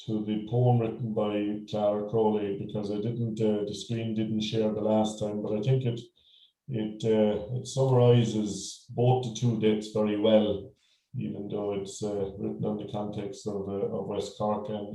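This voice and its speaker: Irish male meeting host, low and monotone, hesitant, echoey video-call sound. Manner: halting, many fillers and restarts.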